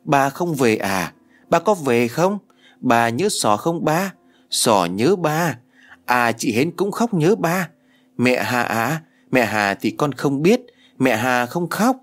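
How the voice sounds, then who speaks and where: A man's voice: mid-range voice, big pitch swings, takes it slowly, voice pushed up. A man voicing a small, sulky younger girl in a storybook tale, whimpering in a babyish way.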